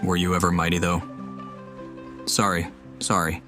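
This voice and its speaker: Deep-voiced man playing a video game. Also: completely flat delivery, normal speed. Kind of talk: casual gameplay commentary, reading dialogue aloud. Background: game music.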